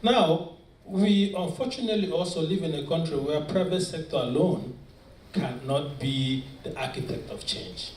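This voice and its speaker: A man's voice: fairly high pitch, normal speed, a little hiss or hum. A Nigerian man speaking earnestly on a panel, often restarting his sentences. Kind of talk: panel discussion. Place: large conference hall. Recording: echoey conference hall from far off. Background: faint ambience.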